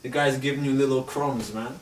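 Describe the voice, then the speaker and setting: A man's voice: medium pitch, steady tone, fairly quick. An argumentative man with a mid-range voice presses his case with rhetorical questions. He sounds distant in a roomy space with faint noise behind. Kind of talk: casual religious discussion. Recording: echoey room from far off with faint noise.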